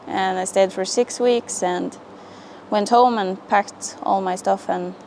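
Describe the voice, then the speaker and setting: A woman who works as a miner talks casually about her life in an interview. Her pitch is ordinary and middle, and she hesitates with fillers. A faint noise sits in the background. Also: steady tone, slow, darkish tone, poor audio. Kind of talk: interview answer.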